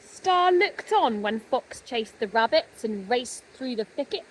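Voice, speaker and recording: A woman narrating a children's story expressively, with gentle dramatic pauses. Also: fairly high pitch, lively intonation, slight background noise, poor audio. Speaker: storybook reader.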